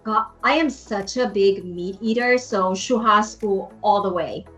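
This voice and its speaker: A woman's voice: medium pitch, a little slow, a bit bright, faint music behind. A middle-aged woman from Brazil with Portuguese as her first language, speaking as an enthusiastic podcast guest who repeats words for emphasis. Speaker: podcast guest, hotel industry professional. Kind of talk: casual interview chat.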